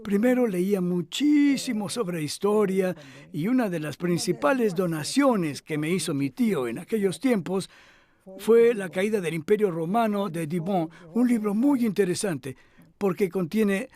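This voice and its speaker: A man dubbing an elderly male scholar who recalls his past calmly, in measured speech with hesitant pauses. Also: high voice. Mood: reflective. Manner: measured, thoughtful, with hesitant fillers. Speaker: dub voice of an interviewee. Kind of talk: voice-over dub of an interview.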